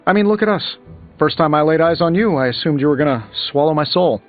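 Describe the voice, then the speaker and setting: An actor with an American accent playing a cocky, witty billionaire inventor superhero in a video game, with glib, sardonic delivery. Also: male voice, fairly high pitch, lively intonation, fast, lo-fi sound. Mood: chatty, joking. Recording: band-limited audio under game music.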